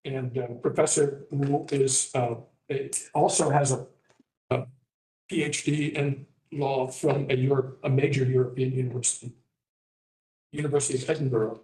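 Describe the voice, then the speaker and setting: An older man who grew up in Taiwan, moderating a panel and introducing speakers in a halting, rambling way full of fillers and restarts. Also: mid-range voice, little pitch movement, mellow. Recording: echoey room.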